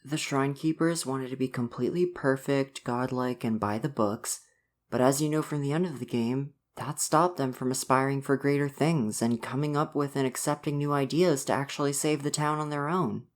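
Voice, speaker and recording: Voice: medium pitch, steady tone, normal speed, natural voice. A performer playing an affectionate visual novel boyfriend in an ASMR roleplay, earnest and reassuring. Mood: reassuring, tender. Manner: soft-hearted, reassuring, earnest. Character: gentle, affectionate dating-sim boyfriend come to life. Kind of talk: ASMR roleplay, personal affirmation.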